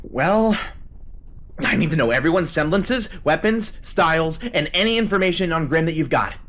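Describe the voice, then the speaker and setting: A man's voice: a little high, animated, normal speed, fairly bright tone. A man playing a thoughtful, self-doubting teenage novice fighter in an audio drama, earnestly reasoning things out. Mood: hesitant, planning. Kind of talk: fan audio drama acting.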